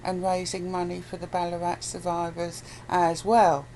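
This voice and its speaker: British woman, lowish voice, blunt casual interviewee, noisy amateur recording. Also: completely flat delivery, normal speed, dark tone. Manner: blunt, sweary. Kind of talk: casual conversation.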